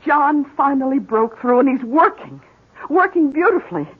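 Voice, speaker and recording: A devoted, anxious wife in a seventies radio play. Her voice is high and gentle with expressive swings, heard through a thin broadcast band. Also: moderate pace. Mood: happy. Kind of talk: 1970s radio drama acting. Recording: muffled, hissy 1970s radio broadcast.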